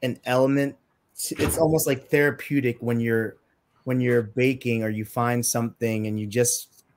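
A man's voice: medium pitch, fairly even intonation, moderate pace. A young man from Trinidad and Tobago, a podcast guest chatting in a friendly, upbeat way with many "like" fillers over a video call. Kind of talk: casual interview chat. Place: home room with plain walls.

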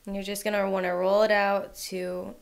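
A young woman hosting a home cooking channel, walking through a recipe in a relaxed way with lots of "um" and "like". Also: mid-range voice, moderate pace, creaky. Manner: relaxed, filler-heavy instructions with frequent "um" and "like". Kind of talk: casual recipe voice-over.

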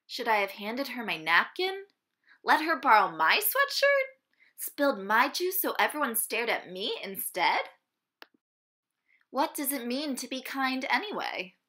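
Lively, bright-voiced woman reading a picture book. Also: a little high. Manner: animated, deliberate phrasing for young listeners. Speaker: storybook reader. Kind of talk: expressive children's picture-book read-aloud.

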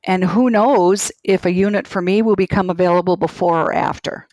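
A woman's voice: on the low side, fairly even intonation, moderate pace, a little mellow, no echo. A woman in her sixties with a Minnesota accent calling in to an advice show, plainspoken and a little anxious. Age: 60s. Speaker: caller. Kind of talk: phone-in conversation.

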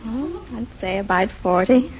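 A woman's voice: on the high side, lively intonation, normal speed. A woman in her twenties from Belfast, giving brief answers as a contestant on a radio quiz. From Belfast, Northern Ireland. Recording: muffled, noisy 1950 radio recording.

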